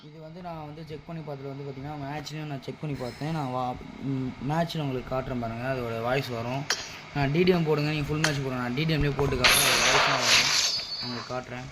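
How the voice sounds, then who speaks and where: A man's voice: mid-range voice, fairly even intonation, fast talker. A man giving a casual, insistent, repetitive game tutorial over his play. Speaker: gaming video creator. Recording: very noisy home recording with clicks.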